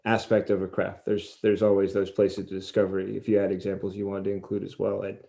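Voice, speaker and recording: A man's voice: deep voice, completely flat delivery, fast, creaky, mellow. A man hosting a conversational interview, putting his questions politely and hesitantly. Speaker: interview host.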